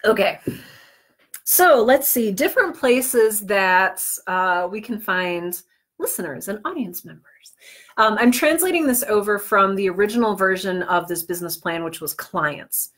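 A woman's voice: medium pitch, animated, a little slow, a bit bright. A woman presents an informal tutorial to live viewers. She talks in a chatty way, breaking off into self-deprecating asides. She is slightly under the weather as she speaks. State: under the weather with cold or flu, on medication. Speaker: livestream host teaching podcast business planning.

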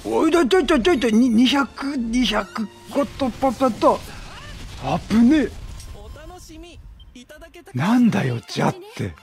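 High-pitched, animated male game streamer. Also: normal speed, creaky, pauses often. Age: middle-aged. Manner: chatty, reactive, repeats phrases. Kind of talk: excitable Let's Play commentary. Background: game music.

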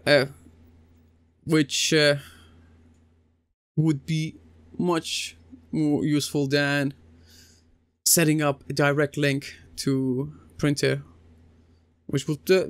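A man's voice: fairly high pitch, fairly even intonation, a little slow, no echo. A man in his thirties giving a recorded computing lesson over slides, talking conversationally with many fillers and restarts. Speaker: computing teacher. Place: small indoor room, seated at desk.

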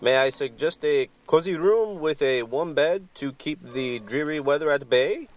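Prissy, servile desk clerk, raised pitch, courteous and formal, radio noir with music underneath. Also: male voice, expressive, a little slow. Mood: flustered politeness. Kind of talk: old-time radio drama acting.

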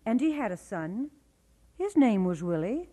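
A woman's voice: medium pitch, sing-song, measured pace, warm tone. A woman playing a homely middle-aged Scottish mother in an old-time radio drama. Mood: plain, thoughtful.

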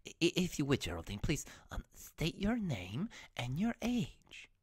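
A performer plays a jittery assistant who stammers and hedges through every line, with a lively, rising-and-falling voice. The tone stays deferential in a comedy sketch. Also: medium pitch, measured pace, breathy edge, no room sound, studio quality, exaggerated voice. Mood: nervous. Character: nervous assistant Sam.

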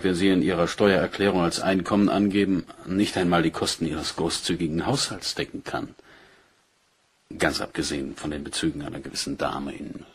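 An actor playing a polite, calculating British police inspector in a German crime radio play, with measured, insinuating deference. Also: male voice, low-pitched, husky, heavily acted.

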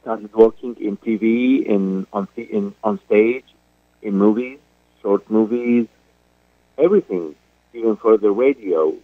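A middle-aged man with a Syrian Arabic accent tells his story at an unhurried pace, pausing and restarting often. His voice reaches the show through a narrow, tinny phone line with no room sound. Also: fairly low pitch, no echo. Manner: slow, halting, many fillers and restarts. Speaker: guest actor. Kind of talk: radio interview. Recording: dry phone-line call.

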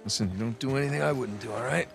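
An American man in his thirties playing a good-natured, gently sardonic retired adventurer in a video game, casual and a little hesitant. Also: mid-range voice, very fast, vocal fry. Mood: earnest, warm. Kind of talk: video game cutscene acting. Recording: music under the voice.

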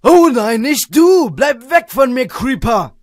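A performer voicing a dramatic, easily panicked undead monster in a cartoon fandub, gravelly and melodramatic. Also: high voice, big pitch swings, slow pace, exaggerated voice. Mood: fearful, rejecting. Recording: music behind the voice.

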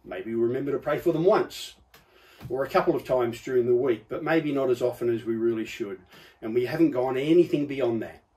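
A man in his fifties preaching conversationally, explaining points with emphatic stresses and pauses. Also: medium pitch, mellow. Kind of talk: conversational sermon. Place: book-lined study or office indoors. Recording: slightly distant microphone.

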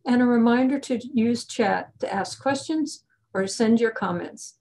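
An older woman delivers a prepared welcome as the head of a library group. Her pitch is mid-range for a woman. She speaks over a video call, introducing an online event. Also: measured pace, a little mellow. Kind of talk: scripted welcome announcement.

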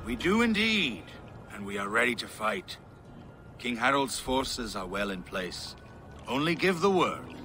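An actor playing a steady, loyal Viking war captain in a video game drama. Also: male voice, medium pitch, unhurried, soft background music. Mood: ready.

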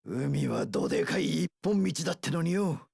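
An actor voicing a brash, rough-talking young pirate warlord in a video game, gravelly, with delinquent gang-boss speech and drawn-out battle cries. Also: male voice, fairly high pitch, very animated, darkish tone, no room sound, clean studio sound, full character voice. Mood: low, brooding. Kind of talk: video game character voice lines.

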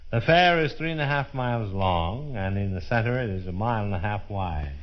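An American man speaking as a tour guide in a scripted 1930s radio presentation, formal, measured and declamatory. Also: lowish voice, expressive, a little hiss or hum. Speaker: fair official acting as tour guide. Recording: dull, muffled 1930s radio broadcast.

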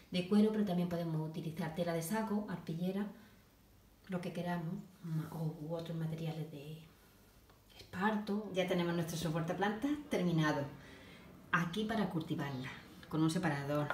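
Brief: lower-pitched woman explaining a DIY build while working, halting, echoey home room with noise. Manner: halting, talks while working, frequent restarts and soft asides. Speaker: DIY video maker. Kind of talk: step-by-step craft demonstration. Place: home kitchen, indoors.

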